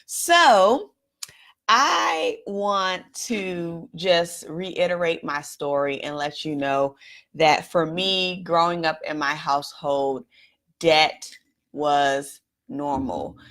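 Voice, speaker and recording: A woman from Washington, D.C. hosting a live stream, telling her own story in a candid, reflective way straight to viewers, her voice a little raspy. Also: low voice, very slow, a bit bright. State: slightly raspy voice. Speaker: host.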